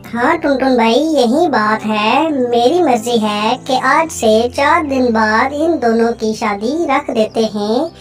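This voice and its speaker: Woman voicing a high-pitched, cheerful little matchmaker bird. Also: slow, hardly any pauses. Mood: pleased. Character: friendly, helpful female little bird matchmaker. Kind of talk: animated moral story dialogue. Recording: music under the voice.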